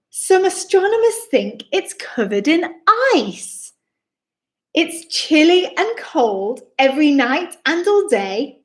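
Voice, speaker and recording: A woman hosting a children's storytime, reading rhyming picture-book text aloud in a theatrical, exclaiming way and speaking directly to young listeners. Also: high voice. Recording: roomy, echoing indoor sound.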